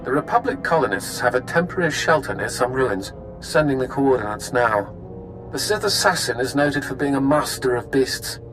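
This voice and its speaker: A man voicing a cautious, businesslike informant with a measured, formal manner in a video game. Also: mid-range voice, lively intonation, lightly acted, rough recording. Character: cautious, businesslike male informant making a bargain. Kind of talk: video game dialogue acting. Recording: music behind the voice.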